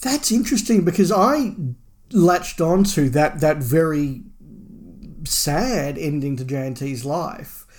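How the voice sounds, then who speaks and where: A man's voice: on the high side, slow, darkish tone. Male podcast co-host, conversational and musing, informal news-chat delivery. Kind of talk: casual discussion of Doctor Who fandom news.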